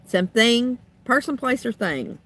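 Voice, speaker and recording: A woman doing a tarot card reading, thinking aloud in musing, trailing phrases, her voice a little rough and raspy from being sick. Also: fairly high pitch, sing-song, unhurried, fairly bright tone. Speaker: tarot reader.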